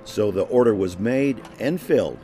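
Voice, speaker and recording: A man's voice: on the low side, expressive, normal speed. A man reading scripted narration for a heritage travel program. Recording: music under the voice. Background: background music.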